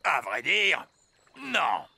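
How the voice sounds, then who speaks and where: A man's voice: a little high, wildly swooping pitch, drawn-out words, vocal fry, bright, forceful tone, husky, no room sound, heavily acted. A man playing a small seafaring pirate elf uncle in a children's cartoon, telling tales in a dramatic, theatrical way. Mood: boastful, mysterious. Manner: dramatic, swooping storytelling. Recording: music behind the voice.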